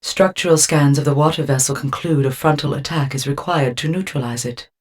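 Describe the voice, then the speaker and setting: A woman voicing a poised, flirtatious car computer in a spy video game, giving measured automated announcements. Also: low-pitched. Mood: cool, measured.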